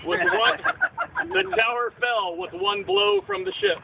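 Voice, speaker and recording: A man's voice: extremely high pitch, very animated, a little slow, creaky. A man narrating a puppet show in dramatic, theatrical storyteller verse. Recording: tinny outdoor recording, faint noise.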